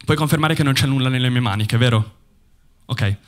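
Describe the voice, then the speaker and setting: A young man from Bologna performing a stand-up comedy set on a theater stage, talking into a handheld mic with a deadpan, ironic delivery and pauses for laughs. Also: mid-range voice. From Bologna, Italy. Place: theater stage before a live audience.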